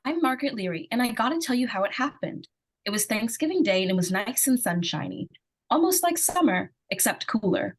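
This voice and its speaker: A woman's voice: a little high, big pitch swings, a little fast, breathy edge. Woman playing an earnest, folksy little girl storyteller. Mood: eager, earnest. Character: excitable, earnest little girl narrating her scare. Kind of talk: old-time radio drama narration. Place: remote live performance over video call.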